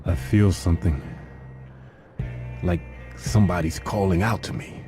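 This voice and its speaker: A cool, intuitive hitman from a video game. His voice is low and dark, calm and clipped, with faint music underneath. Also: unhurried. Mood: cool, intent.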